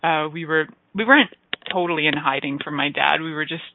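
A woman's voice: deep voice, a little fast, background noise. A woman from Canada, a guest in a casual interview, chatty and laughing often as she tells stories. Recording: tinny phone line.

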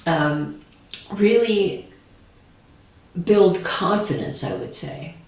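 A woman guest speaker explaining meditations calmly, in a slow, gentle, reflective way. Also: fairly low pitch. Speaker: guest speaker, shamanism teacher. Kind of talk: calm guided explanation. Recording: distant echoey dull video call audio.

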